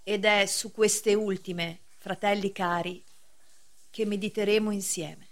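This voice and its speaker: An actress playing a solemn, severe preacher in a radio play, speaking in a measured, declamatory way. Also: female voice, medium pitch, fairly even intonation, close to an everyday voice. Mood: solemn preaching. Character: solemn, severe female preacher, cryptic gatekeeper of justice. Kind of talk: theatrical radio drama acting.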